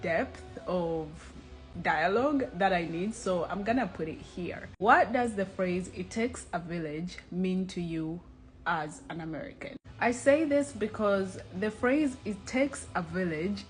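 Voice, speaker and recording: A woman's voice: lowish voice, faint music behind. Reflective woman from Uganda, echoey sound. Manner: reflective, explanatory, pausing often. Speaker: content creator. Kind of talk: casual talk to camera on culture. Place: outdoors.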